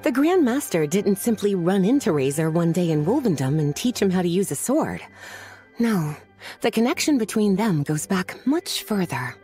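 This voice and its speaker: A woman voicing a languid, knowing witch in an anime video game, with an unhurried, coy, teasing manner. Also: on the low side, big pitch swings, warm tone, faint music behind. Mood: calm, informative.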